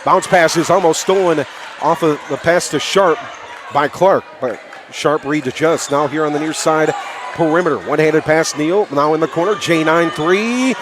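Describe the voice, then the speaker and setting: A man calling live basketball play-by-play for radio in clipped phrases, excitable and raising his voice on big plays. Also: on the high side, moderate pace. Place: indoor high school gymnasium. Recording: live from noisy gym, crowd behind. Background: crowd and bouncing basketball.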